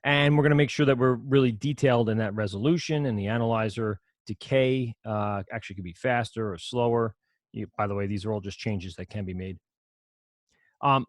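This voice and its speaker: Casting: man, low voice, casual rambling teacher walking through software, dry close sound. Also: no room sound. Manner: casual, rambling, self-correcting explanations. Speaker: audio production teacher. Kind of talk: screen-share software lesson.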